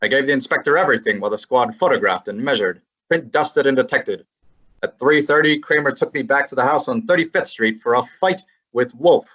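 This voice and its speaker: A brisk, wry hard-boiled private eye, voiced by a young man. The voice is husky and sits at mid pitch. The audio is muffled and lo-fi. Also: fairly bright tone, close to an everyday voice. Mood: narrating. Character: wisecracking young male private eye narrator. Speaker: high school drama student. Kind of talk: amateur radio play narration and acting. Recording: muffled lo-fi recording.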